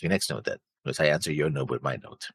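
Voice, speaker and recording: Male co-host, very low and husky, meandering thinker, dry close recording. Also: fast, no echo. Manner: thinks aloud, trails off. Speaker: reaction show co-host. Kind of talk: casual discussion.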